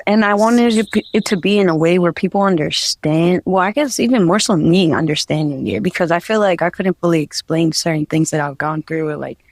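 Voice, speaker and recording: A woman's voice: low-pitched, a little mellow, no echo. A young woman from New Jersey, a guest artist, talking casually in an interview and rambling with many fillers. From New Jersey, USA.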